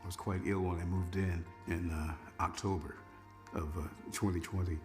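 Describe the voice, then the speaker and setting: A man's voice: low voice, normal speed, soft background music. A man in his sixties, a former radio DJ, telling his story casually in an interview. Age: 60s. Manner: relaxed, reminiscing, conversational. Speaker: former radio news reader and DJ.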